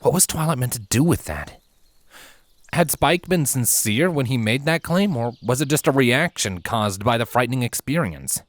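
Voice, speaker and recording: A man reading scripted fantasy fan fiction aloud as a narrator, in measured, expressive storytelling. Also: medium pitch, no room sound. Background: background music.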